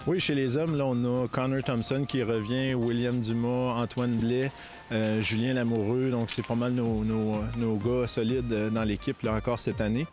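A middle-aged man, a ski coach interviewed outdoors in a snowy park, relaxed and full of fillers. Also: medium pitch, normal speed, faint music behind. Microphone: handheld reporter mic.

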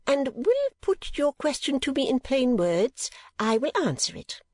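A woman's voice: high-pitched, very animated, measured pace, a little mellow, no room sound, voice pushed up. A woman voicing a vain, flustered middle-aged mother in a storybook, genteel and easily affronted. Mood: haughty.

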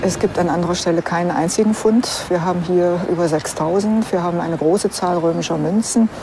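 A woman's voice: lowish voice, brisk, music in the background. A woman speaking as an archaeologist, explaining in a matter-of-fact interview style.

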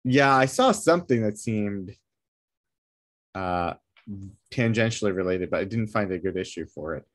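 A man with a deep, low voice talks over a video call from home. He thinks aloud, hesitating with fillers as he explains a problem. The delivery is casual and unhurried. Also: expressive. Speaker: meetup attendee asking for help.

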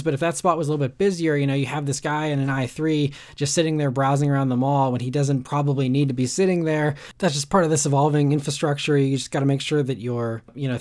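A man narrating his road trip in a casual vlog voice-over, rambling with lots of "you know" and "uh" fillers. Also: medium pitch. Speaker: car reviewer.

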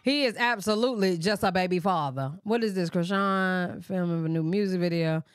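A woman in her thirties hosting a livestream, reacting and talking straight to her viewers in a sassy, animated way. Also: on the low side, normal speed, clean tone, no echo, studio quality, soft background music. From Michigan. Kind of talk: casual livestream reaction commentary. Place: bedroom streaming setup with pink lights. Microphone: desk mic in front of her.

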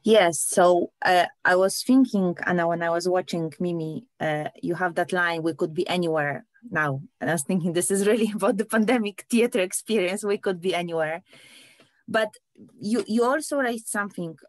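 A woman moderates a panel over a video call with a lowish voice. The sound is dry and close, and she poses her questions in a measured, reflective way. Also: no echo.

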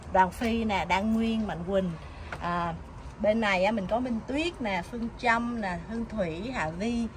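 Casting: middle-aged woman, chatty, upbeat vlog promoter, recorded outdoors with clear background noise. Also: mid-range voice. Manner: chatty, enthusiastic. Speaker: vlogger announcing a community event. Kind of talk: casual promotional vlog talk. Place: outdoors at a building's glass entrance. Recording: noisy outdoor camera audio.

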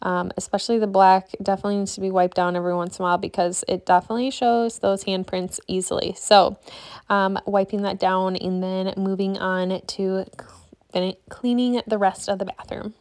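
A woman's voice: medium pitch, steady tone, brisk, a little mellow. Woman in her 30s doing a chatty clean-with-me voiceover, lots of ums, casual mom talk. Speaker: cleaning vlogger. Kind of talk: casual voice-over narration.

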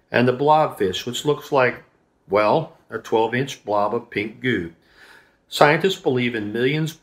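A man's voice: medium pitch, a bit bright. Middle-aged man reading aloud. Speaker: children's devotional reader. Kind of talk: reading a children's devotional aloud. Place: indoors in a room at home, seated at a table.

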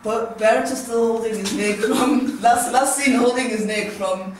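A man hosting a casual studio sports chat, lively and quick to laugh. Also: extremely high pitch. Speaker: show host. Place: small studio room, indoors. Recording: echoey room from a distance, faint noise.

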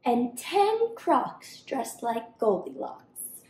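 A young woman reading a rhyming children's story aloud expressively and deliberately. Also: a little high, big pitch swings, a little mellow. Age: young adult. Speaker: storybook reader. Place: home kitchen-dining room, indoors. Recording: roomy indoor echo.

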